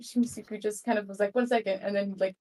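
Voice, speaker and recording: A woman's voice: medium pitch, level tone, quick pace, warm tone, rough recording. A woman chatting casually as a player in a tabletop roleplay. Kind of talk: casual chat.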